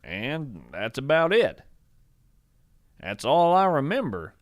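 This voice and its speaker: A man's voice: mid-range voice, very animated, slow, no echo, clean studio sound. A man playing a whimsical, earnest naturalist of strange creatures, narrating a fiction podcast in a folksy, musing, theatrical way. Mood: musing wonder.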